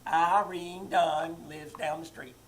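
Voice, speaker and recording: A woman's voice: low voice, steady tone, slow, a little hiss or hum. A woman playing a martyred old mother. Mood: grumbling. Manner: passive-aggressive, self-pitying repetition. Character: nagging, martyred elderly mother-in-law. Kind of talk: 1940s radio comedy acting. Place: live theater stage.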